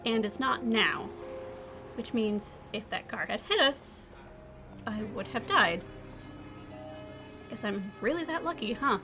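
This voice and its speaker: A woman's voice: a little high, animated, slow pace, long pauses, pushed and bright, soft background music, full character voice. A woman playing a sardonic, weary and emotionally raw young woman in a scripted audio drama. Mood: shaken, sardonic. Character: defiant, weary young woman, sarcastic survivor. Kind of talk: scripted audio drama acting with first-person narration.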